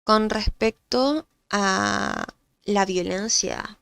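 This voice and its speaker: Nasal, gravelly Chilean woman explaining. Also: mid-range voice, big pitch swings, fairly bright tone, dry recording. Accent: Chilean Spanish. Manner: thoughtful, pauses with fillers. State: nasal from allergies. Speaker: guest psychologist. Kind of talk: explanatory podcast talk.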